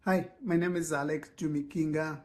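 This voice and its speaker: A man's voice: on the high side, steady tone, darkish tone, a little hiss or hum. Ecuador-born man, thoughtful interview voice. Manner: measured, with pauses and restarts. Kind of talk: documentary interview.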